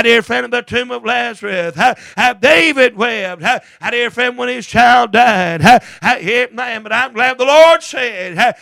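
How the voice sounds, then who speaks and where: A male preacher with a very high, piercing and forceful voice, strained and full of gravel. He delivers a fervent revival sermon in short chanted bursts, each punctuated by a gasped "huh". The sound is dry and close. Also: no echo. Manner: punctuates phrases with gasped "huh" and repeated "dear friend".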